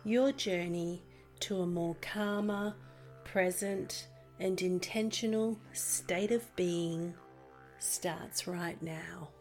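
A woman narrating a guided meditation in a soothing, gentle and unhurried manner. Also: fairly low pitch, fairly even intonation. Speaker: meditation podcast host and guide. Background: music behind the voice.

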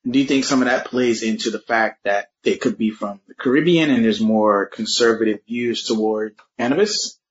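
A man's voice: on the low side, normal speed, few pauses. A man interviewing a guest, asking short, easygoing questions. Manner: brief, easygoing prompts. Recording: dull lo-fi sound.

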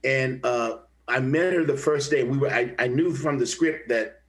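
An older man from the Kansas City area, an actor telling stories in a relaxed interview with many restarts. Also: mid-range voice, moderate pace. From Kansas City area, USA. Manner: rambling, many restarts and repeated words. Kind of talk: conversational interview, storytelling.

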